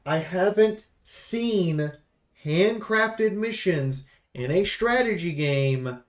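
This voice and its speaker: A man's voice: sits high, lively intonation, takes it slowly. A man giving casual, opinionated commentary on a game preview, speaking bluntly and with emphasis. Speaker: game reviewer and streamer.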